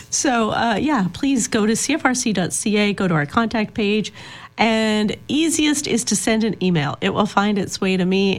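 A woman hosting a radio show in a studio, speaking in a casual, hesitant way with many fillers and light laughs. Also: mid-range voice. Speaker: radio host.

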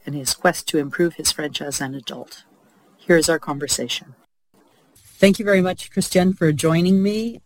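A woman hosting a podcast, asking interview questions and reading intros in a clear, measured way. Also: deep voice, no echo, poor audio. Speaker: podcast host.

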